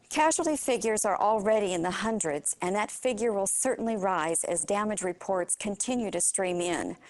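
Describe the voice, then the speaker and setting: Serious American female news anchor, medium pitch, acted. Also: close to an everyday voice. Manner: measured, formal. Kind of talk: acted news bulletin in a video game.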